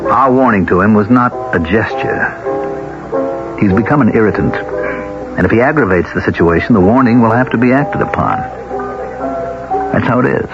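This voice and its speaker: A lowish-voiced American man speaks with pompous, formal authority and quiet menace. Music plays behind him on a dull-sounding 1970s radio drama recording. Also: expressive, moderate pace, long pauses. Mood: quietly threatening. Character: stern, authoritarian town elder, smoothly menacing. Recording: band-limited radio broadcast, music behind.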